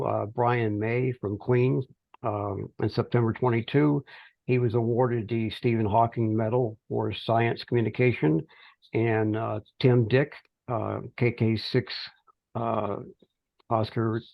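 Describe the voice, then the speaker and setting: Male, middle-aged, creaky mid-pitched voice, halting informal teleconference report, close dry sound. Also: dry recording. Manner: halting, hesitant delivery with pauses mid-phrase. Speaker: amateur radio project volunteer. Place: remote teleconference call. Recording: dull, band-limited teleconference audio.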